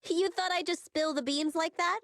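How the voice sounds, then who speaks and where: A woman's voice: very high voice, steady tone, moderate pace, fairly bright tone, dry recording, studio quality. A woman voicing a sly, self-assured young crew boss in an anime-style game, breezy, boastful and bossy. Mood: smug, confident.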